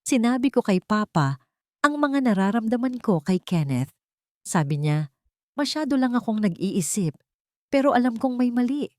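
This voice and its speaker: A woman's voice: on the high side, big pitch swings, normal speed, a little mellow, dry recording, voice pushed up. A woman narrator plays a frightened young Filipino woman confiding in her diary. She sounds earnest and uneasy, in the style of an audiobook drama. The recording is close and dry. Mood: fearful. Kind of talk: dramatic journal reading in audiobook.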